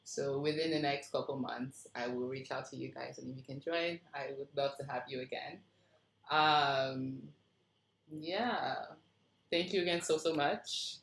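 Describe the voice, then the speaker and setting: A woman with a notably low voice hosts a casual feedback discussion. She speaks as the writer leading the group, and the sound is roomy and echoey, as on a video call. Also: a little slow, creaky. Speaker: writer hosting a script table read feedback session.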